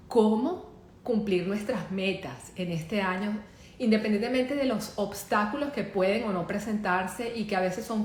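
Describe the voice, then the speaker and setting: Upbeat Venezuelan woman hosting a live show. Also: medium pitch. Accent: Venezuelan Spanish. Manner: upbeat, welcoming. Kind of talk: live online interview show. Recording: roomy echo, faint background noise.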